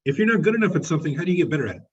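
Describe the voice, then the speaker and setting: A man's voice: a little high, big pitch swings, very fast, breathy edge, dull audio. A man leading a real estate training session, encouraging people and asking the group questions. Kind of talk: coaching a group discussion.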